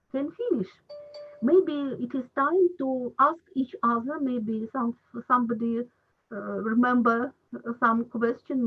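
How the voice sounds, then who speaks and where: Woman hosting an online panel, hesitant English, husky voice on a phone-like line. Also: on the high side. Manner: halting non-native English, many fillers. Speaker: round table moderator. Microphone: video call. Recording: husky voice over a tinny call line.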